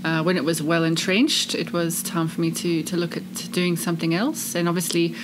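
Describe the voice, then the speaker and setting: A South African woman with a soft English-speaking South African accent talks through her career in a relaxed interview. Her voice sits on the low side, and a steady hiss of noise runs under the recording. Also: moderate pace. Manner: warm, earnest, expansive storytelling. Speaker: charity CEO, guest. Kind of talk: casual interview chat.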